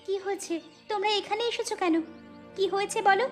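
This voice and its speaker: A woman's voice: extremely high pitch, little pitch movement, fairly quick, faint music behind. A woman voicing a young daughter-in-law, proud and indignant, in a melodramatic cartoon manner. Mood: hurt, firm. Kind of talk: melodramatic cartoon story acting.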